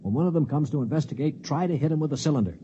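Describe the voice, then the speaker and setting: An actor playing a cynical, commanding space prisoner who also narrates, in a 1950s radio drama. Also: male voice, a little high, lively intonation, very fast, background noise, close to an everyday voice. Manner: brusque, commanding. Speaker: narrator and lead. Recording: dull old radio broadcast.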